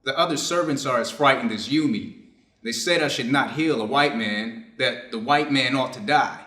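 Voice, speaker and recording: A man's voice: medium pitch, normal speed, sharp tone, natural voice. Man acting a stern doctor in an echoey room. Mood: stern, tense. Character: stern, conflicted Japanese doctor operating on a wounded enemy. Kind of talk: staged readers' theater acting.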